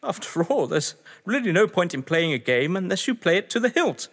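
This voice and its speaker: A grandiose, sardonic gentleman of letters, played by a man in an audio drama. His voice sits high and swoops freely through eloquent, defensive speeches, ringing with long reverb. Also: big pitch swings, a little fast, husky, heavily acted. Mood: coolly defensive. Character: haughty, theatrical games-obsessed male writer, defensive under suspicion. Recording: long echoing hall reverb.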